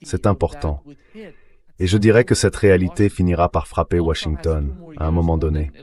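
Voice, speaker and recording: A man reading a translated voice-over of political analysis in a measured, explanatory way. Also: sits low, fairly even intonation, crowd noise, lightly acted.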